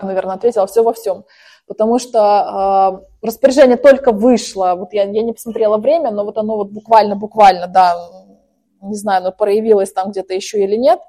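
Soft-toned woman leading a spiritual practice. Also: medium pitch. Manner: chanting incantations, then chatty explanations with many fillers. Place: hall with a group.

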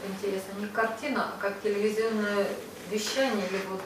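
A woman taking part in a group session, casually describing impressions in halting, pieced-together phrases, on an echoey, distant recording. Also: mid-range voice, steady tone. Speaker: participant describing what they perceive. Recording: very echoey, distant mic, clear background noise.

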